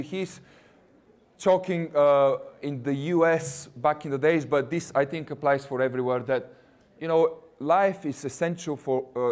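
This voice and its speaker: A man's voice: fairly high pitch, a little mellow. A man in his thirties with a Russian accent, a university recruiter giving a jokey, chatty presentation to students and quizzing the audience. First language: not English.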